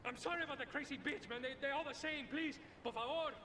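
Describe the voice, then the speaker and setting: An actor playing a frightened Colombian cartel gangster in a video game, pleading whinily in a Latin American Spanish accent. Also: male voice, fast talker. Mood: frightened, placating. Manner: whiny, frantic pleading peppered with Spanish. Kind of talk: video game cutscene acting. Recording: echoey hall reverb, noisy rumbling background.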